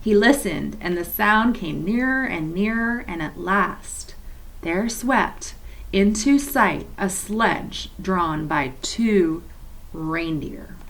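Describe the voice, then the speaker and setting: Woman reader for kids, gravelly tone, mid pitch, storybook narration with friendly asides. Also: animated, takes it slowly, rough recording. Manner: gentle, chatty asides to young listeners. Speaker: children's story reader at a church. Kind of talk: reading a novel aloud to children with asides.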